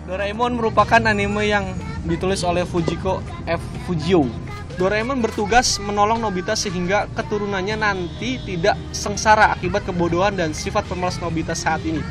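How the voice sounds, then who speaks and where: A man's voice: high-pitched, fairly even intonation, fairly quick. A young man, co-hosting a vlog in casual, nostalgic chat. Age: young adult. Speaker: vlog co-host. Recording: background music behind the voice.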